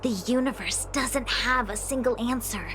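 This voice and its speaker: A woman's voice: a little high, moderate pace, natural voice. A woman voicing a carefree young slacker diviner in a game, philosophizing in a casual, easygoing way. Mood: carefree, earnest. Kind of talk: scripted game voice acting. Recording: clear background music under the voice.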